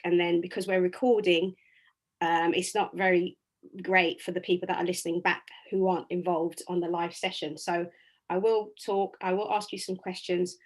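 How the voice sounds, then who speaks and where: A woman presenting a live online wellbeing workshop over a video call, chatty and direct with many ums and restarts, her voice slightly raspy today. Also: fairly low pitch.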